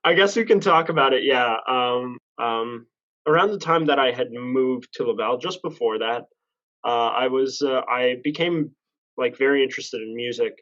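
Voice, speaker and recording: A man's voice: medium pitch, moderate pace, a bit bright, highs cut off. A man in his twenties from Canada, chatting as a podcast guest, rambling and correcting himself as he goes, laughing at himself now and then. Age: 20s. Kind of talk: casual chat.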